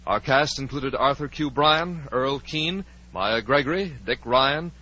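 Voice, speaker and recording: A man's voice: medium pitch, a little slow, pushed and bright. A man announcing and narrating a scripted 1940s radio drama broadcast. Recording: dull 1940s radio recording.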